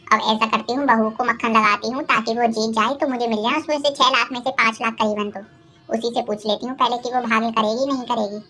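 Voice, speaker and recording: A woman voicing a greedy, scheming older mother-in-law in a cartoon moral story, bossy, insistent and quick to take offence. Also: fairly high pitch, fairly even intonation, sharp tone, soft background music.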